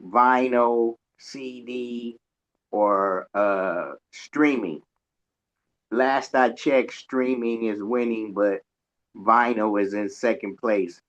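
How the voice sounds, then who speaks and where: A man with an unhurried, folksy delivery and a mid-range voice, co-hosting a radio show. He rambles through anecdotes in casual conversation. The audio is slightly dull and lo-fi. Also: medium pitch, fairly bright tone.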